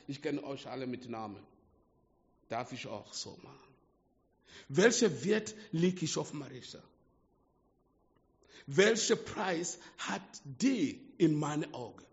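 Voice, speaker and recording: Man, non-native German accent, emphatic sermon, unhurried delivery, lo-fi reverberant hall. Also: a little high. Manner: slow, emphatic preaching with swings between hushed and loud. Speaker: preacher. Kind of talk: sermon to a small congregation. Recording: dull lo-fi sound with long hall reverb.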